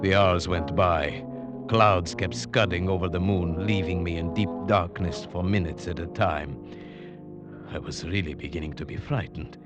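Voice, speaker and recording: An actor playing an earnest, frightened storyteller in a 1940s radio drama, speaking in a measured, dramatic way. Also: male voice, sits low, steady tone, lo-fi sound, lightly acted. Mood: grave, suspenseful. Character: earnest male storyteller recounting a frightening hunt. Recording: old radio broadcast under music.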